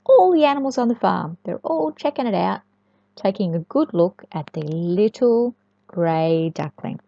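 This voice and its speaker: Aussie or kiwi lady reading a kids book out loud, really swoopy voice, slow, kinda dull echoey recording. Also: medium pitch, creaky. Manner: expressive, sing-song, asking the listener questions. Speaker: children's storyteller. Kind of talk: storybook read-aloud with chatty asides to young children. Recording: echoey room, dull lo-fi sound.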